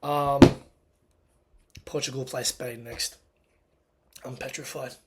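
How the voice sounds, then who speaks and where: Hoarse man ranting after a match. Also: flat delivery, slow, a little hiss or hum. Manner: agitated and emphatic, pitch and loudness climbing when angry. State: hoarse, lost voice. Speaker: football fan reacting after a match. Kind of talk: casual post-match reaction rant.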